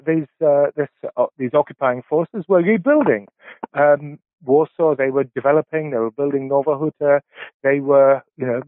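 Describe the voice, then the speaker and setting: Brief: professor in his 60s, Polish, halting and reflective, heard over a dry, tinny phone line. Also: fairly high pitch, dry recording. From England. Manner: halting, many filler sounds and restarts. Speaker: professor, interview guest. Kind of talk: reflective interview answers.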